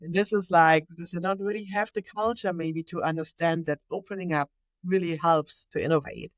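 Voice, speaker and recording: A woman's voice: fairly low pitch, steady tone, brisk, no echo. A woman with a German accent, speaking English as a second language, answers as a podcast guest. She talks eagerly and fluently, with small grammar slips along the way. Her voice sits close to the mic in a dry room. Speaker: podcast guest, innovation manager. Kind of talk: conversational interview.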